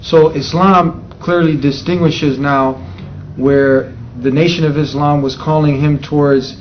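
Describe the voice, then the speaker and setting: A man's voice: fairly high pitch, steady tone, measured pace. A man hosting an on-location interview, talking conversationally with frequent restarts. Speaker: show host. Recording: slightly dull sound with faint noise.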